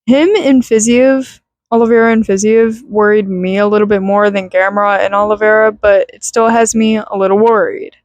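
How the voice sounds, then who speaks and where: A woman with a raspy voice giving a candid, rambling rant to her channel, swearing casually. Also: medium pitch, flat delivery, normal speed, hardly any pauses, no echo. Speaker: YouTuber.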